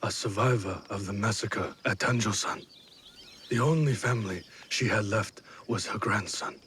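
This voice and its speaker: An actor playing a grave, weary and cautious samurai strategist in a video game, speaking in a measured, solemn manner. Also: male voice, on the low side, fairly bright tone, slight background noise, lightly acted. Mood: somber. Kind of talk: dramatic video game cutscene acting.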